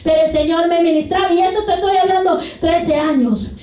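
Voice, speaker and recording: Fervent high-voiced woman preaching in an echoey hall. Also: steady tone, fairly quick, mellow, distant mic. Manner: fervent, repeats hallelujah and glory to God. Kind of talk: sermon.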